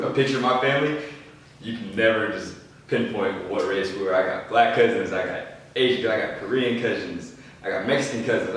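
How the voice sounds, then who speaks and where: A man talking earnestly about family in a casual group podcast, stumbling over some starts. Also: medium pitch. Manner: earnest, warm-hearted, halting starts. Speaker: podcast co-host. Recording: echoey room from far off.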